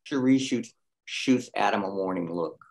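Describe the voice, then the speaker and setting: Casting: male narrator for script table read, low-pitched, delivering stage directions. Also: animated, normal speed, a little mellow.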